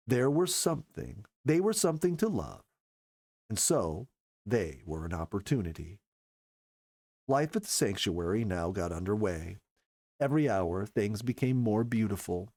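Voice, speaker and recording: A male storyteller reads narrative prose in an earnest, careful way. His mid-pitched voice moves up and down in lively swoops, and the sound is close and dry. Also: no echo. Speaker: storyteller reading a book aloud. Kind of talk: expressive scripted first-person narration.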